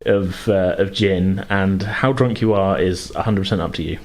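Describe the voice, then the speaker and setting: A man's voice: sits low, normal speed. A man casually refereeing a tabletop game as game master, hesitant and easygoing as he thinks aloud.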